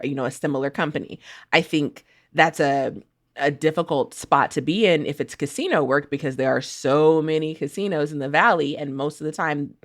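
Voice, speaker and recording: A woman contributing to a casual podcast discussion, explaining her points informally. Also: sits low.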